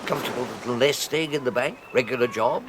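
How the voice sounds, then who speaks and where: An actor playing a jovial, sly middle-aged husband who chuckles and talks down to a young lodger in a radio drama. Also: male voice, mid-range voice, quick pace, slight background noise, close to an everyday voice. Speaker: Arthur.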